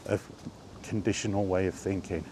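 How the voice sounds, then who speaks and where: A man with a fairly low voice speaks off the cuff, putting deliberate weight on his points. He repeats phrases and poses rhetorical questions as he argues his opinion. Noticeable ambient noise sits behind him. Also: steady tone. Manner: emphatic, deliberate delivery with rhetorical questions and repetition. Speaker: video host sharing opinions on gaming. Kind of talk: unscripted opinion monologue while walking.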